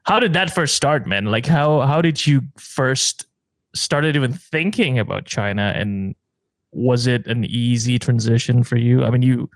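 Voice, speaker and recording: A man's voice: mid-range voice, a little slow, no room sound. A man hosting a casual podcast interview, reacting a lot and asking loose, rambling questions. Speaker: podcast host.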